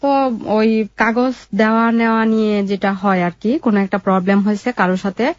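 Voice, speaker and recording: A young woman with a Bangladeshi accent telling her life story in an interview, in a halting, colloquial way. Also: mid-range voice, steady tone, dry recording, lo-fi sound.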